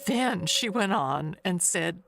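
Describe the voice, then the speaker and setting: Slow-talking, evasive older woman played by an actress. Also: on the low side, expressive, vocal fry, fairly bright tone, faint music behind, exaggerated voice. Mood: guarded, uneasy. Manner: halting, rambling, confiding. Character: chatty, evasive older woman neighbor harboring a secret. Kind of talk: audio mystery monologue.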